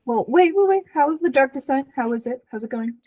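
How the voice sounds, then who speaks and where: A woman's voice: high-pitched, animated, fast, mellow, no echo. A woman chatting informally as a podcast co-host, using "like" often in a conversational way. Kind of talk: casual chat about books and TV.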